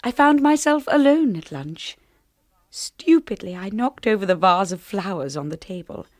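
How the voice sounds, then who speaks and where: An actress as a shy young woman working as a lady's companion in a radio play. She speaks gently and earnestly at a middling pitch, with a well-spoken, slightly hesitant delivery suited to intimate first-person storytelling. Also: lively intonation, normal speed, dry recording, studio quality, natural voice. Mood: wistful, reflective. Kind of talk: radio drama acting and first-person narration.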